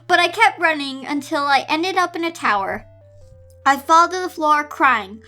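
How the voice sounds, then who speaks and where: A woman's voice: sits high, normal speed, sharp tone, faint music behind. A woman reading a story aloud as a depressed, sarcastic young girl narrating her own misery. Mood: miserable. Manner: self-pitying, sarcastic. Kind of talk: amateur dramatic story reading.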